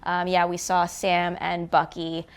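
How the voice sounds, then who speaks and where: A woman co-hosting a film review in casual chat, expressive and playful. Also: lowish voice, measured pace, smooth. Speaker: co-host of a film review show.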